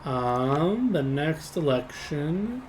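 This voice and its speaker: A man running an improvised tabletop roleplaying game, speaking haltingly as he checks his notes. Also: on the high side, sing-song, noisy background.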